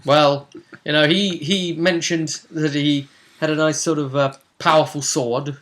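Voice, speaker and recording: A man's voice: fairly high pitch, steady tone, unhurried, a bit bright. A man acting as game master, chattily recapping a tabletop role-playing session in an informal, rambling way. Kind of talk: casual conversational recap.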